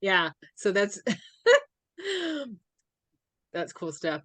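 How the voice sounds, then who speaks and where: A woman's voice: medium pitch, wildly swooping pitch, very slow, fairly bright tone, dry recording. A woman hosting an interview on a video call, chatty, laughing often, asking hesitant, trailing questions. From US South. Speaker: host, interviewer.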